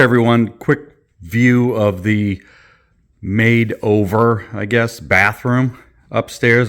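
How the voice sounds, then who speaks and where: Easygoing man, slightly low voice, casual house tour host. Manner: relaxed, joking, filler-heavy. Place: old house under renovation, indoors.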